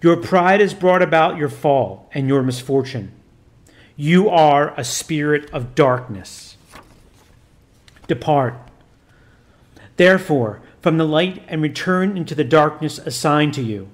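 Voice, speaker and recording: A man playing a stern male priest in an audiobook reading, loud and commanding, with formal old-fashioned speech. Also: a little high, slow.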